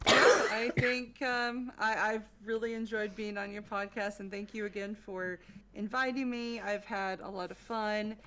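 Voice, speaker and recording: A woman's voice: mid-range voice, flat delivery, a little slow, sharp tone, a little hiss or hum. A woman chatting casually as a podcast guest, with a playful, teasing manner. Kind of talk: casual livestream chat.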